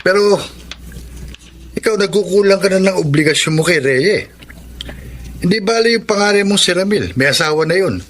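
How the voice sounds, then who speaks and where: High, bright, stern Filipino older brother. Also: male voice, lively intonation, moderate pace, long pauses, a little hiss or hum. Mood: reproachful. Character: stern, reproachful elder brother, concerned uncle. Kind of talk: Filipino radio drama acting.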